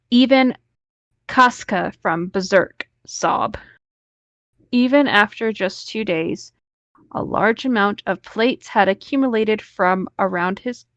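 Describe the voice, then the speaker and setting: A woman's voice: mid-range voice, no room sound, muffled recording. A woman host reading an online story aloud, breaking off now and then into casual asides.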